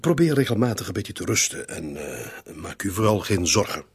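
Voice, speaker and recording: An actor in a radio play, a man, playing a formal, concerned bank director with a raspy voice. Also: fairly low pitch, very animated, normal speed. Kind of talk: Dutch-language radio drama acting.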